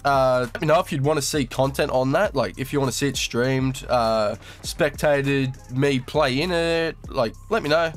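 A man's voice: mid-range voice, moderate pace, hardly any pauses, faint music behind. A young Australian man with a slightly nasal voice from a cold, casually commentating over his gameplay in a crude, laid-back, swearing manner. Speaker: gaming YouTuber.

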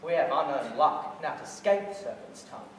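A man's voice: a little high, animated, moderate pace, fairly bright tone. Mischievous young male sprite played by a teenage boy, dramatic Shakespearean stage delivery, recorded from far off in a reverberant, noisy hall. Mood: coaxing, apologetic. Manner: declamatory, playful, dramatic. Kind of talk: theatrical Shakespeare stage monologue. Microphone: distant camera or microphone.

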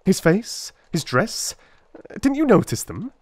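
A male narrator acts out a brilliant detective from a dramatic audiobook. He is brisk, commanding and precise, leaping high and low with excitable impatience. The sound is dry and close. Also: breathy edge, no echo, exaggerated voice. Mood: impatient, probing.

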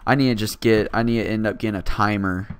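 A teenage boy hosting casual gameplay commentary, raspy from just waking up, rambling and self-deprecating with lots of fillers. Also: fairly low pitch, steady tone, fast, background noise. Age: teens. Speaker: gaming channel host.

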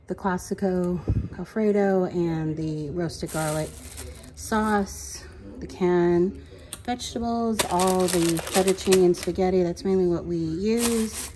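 A woman's voice: lowish voice, takes it slowly, noisy background. A woman filming her grocery shopping in a supermarket, talking casually to viewers about sale prices. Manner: chatty, practical, addresses viewers as y'all. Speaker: grocery haul vlogger. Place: supermarket aisles. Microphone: handheld phone camera. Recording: music playing in the store. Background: store background music.

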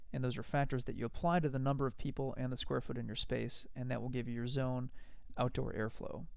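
Male instructor, gravelly edge, close and dry voice-over, steady technical delivery. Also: medium pitch, dry recording. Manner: explanatory, measured, technical. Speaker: lecturer. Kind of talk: recorded slide lecture.

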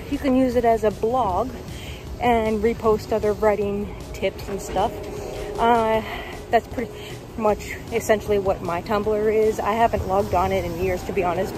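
A young woman talking casually and informally to the camera as she walks along city streets, with jokey asides. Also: mid-range voice. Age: young adult. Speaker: vlogger and author. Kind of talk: casual walk-and-talk vlog. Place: outdoors on city streets, walking.

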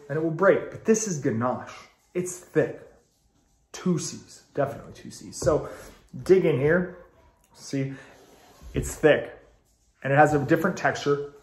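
A man's voice: fairly high pitch, a bit bright. A man in his thirties, congested, giving a chatty, enthusiastic video review to the camera in a small room at home. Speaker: ice cream reviewer. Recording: roomy home recording.

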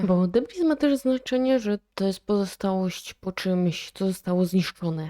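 A woman giving casual reaction commentary, reading text aloud and thinking out loud in a chatty, inquisitive way. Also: mid-range voice, gravelly. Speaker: video creator reacting to analog horror.

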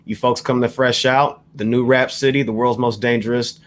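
A man co-hosting a casual podcast chat over a video call, relaxed and measured, agreeing often with the others. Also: medium pitch. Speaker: podcast co-host.

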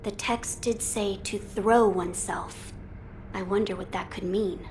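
A woman's voice: medium pitch, fairly even intonation, darkish tone, a little hiss or hum, close to an everyday voice. Woman voicing a grave, thoughtful young boy lord. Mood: grave, pensive. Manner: formal, measured, pensive. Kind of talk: video game voice acting.

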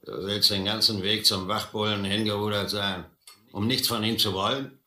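A surly skipper from a radio play, bullying and needling. The voice is low and very hard-edged, with roomy echo around it. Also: male voice, fairly even intonation, moderate pace, bright, forceful tone. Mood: drunken accusing. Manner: gruff, needling, accusing. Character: surly, bullying, hard-drinking boat boss. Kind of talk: German radio play acting.

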